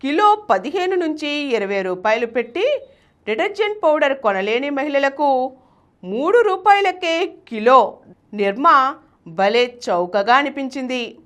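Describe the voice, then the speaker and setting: A woman hosts and reads a scripted story. Her voice sits high and swings widely in pitch. She stresses key words with an expressive storyteller's emphasis. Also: a little slow. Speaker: host and reader of a business success story show.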